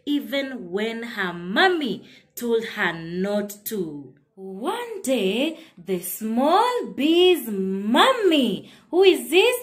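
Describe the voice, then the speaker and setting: A woman preschool teacher telling a story to young children in a sing-song way, repeating phrases and asking them questions. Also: sits high.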